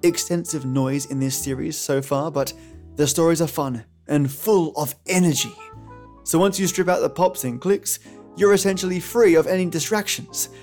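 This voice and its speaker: A man's voice: fairly high pitch, moderate pace, a little mellow. A man hosting a podcast, giving a scripted, chummy, theatrical introduction to old radio tales. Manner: chummy, theatrical, addresses listeners as mates. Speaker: podcast host. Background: music.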